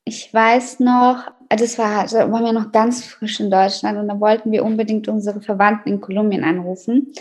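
A woman's voice: mid-range voice, steady tone, moderate pace, hardly any pauses, a little mellow. A young woman from Colombia, a native Spanish speaker and student, telling stories casually in an interview.

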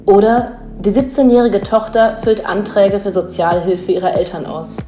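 A woman reading example scenarios aloud in an even, read-aloud manner. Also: mid-range voice, normal speed. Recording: roomy sound with music underneath.

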